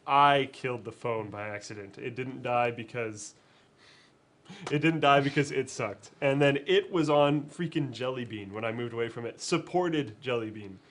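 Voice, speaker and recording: A relaxed man co-hosting a tech live show while sick with a cold. He chats casually at a medium pitch and laughs easily. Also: moderate pace. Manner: laid-back, laughs often. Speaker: co-host. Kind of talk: casual chat.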